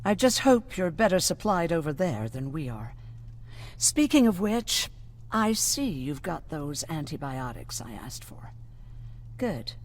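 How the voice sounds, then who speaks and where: A woman's voice: sits low, very animated, measured pace, heavily acted. A woman playing a dry-humoured resistance medic in a video game, with wry, morbid delivery. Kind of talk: video game dramatic acting.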